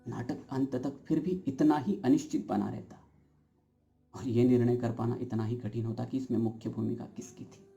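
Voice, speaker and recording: A man performing a stage monologue as a brooding, uncertain everyman, speaking deliberately and philosophically with dramatic emphasis straight to the audience. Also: mid-range voice, steady tone, gravelly, mellow, soft background music, natural voice. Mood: brooding, reflective. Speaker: actor performing an audition monologue.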